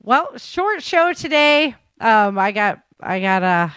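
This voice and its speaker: A woman's voice: fairly high pitch, big pitch swings, takes it slowly, fairly bright tone, smooth, no room sound, clean studio sound. A woman hosting a podcast in a chatty, informal way, moving between news rundown and casual talk. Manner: chatty, informal, addresses listeners as guys. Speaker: podcast host.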